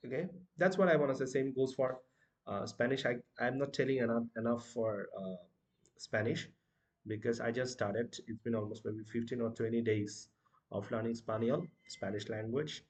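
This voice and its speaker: A man's voice: medium pitch, fairly even intonation, normal speed, darkish tone. A young man from Bangladesh with a Bangladeshi accent, a native Bangla speaker, giving casual advice in a vlog, full of hesitations and "you know" fillers as he corrects himself mid-sentence. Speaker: language-learning vlogger.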